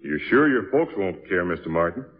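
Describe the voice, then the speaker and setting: A man's voice: low-pitched, big pitch swings, fairly quick. A man playing a genial, fatherly jazz trumpeter with a folksy, encouraging manner in a 1950s radio drama. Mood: amused, friendly. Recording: muffled vintage 1950s radio recording.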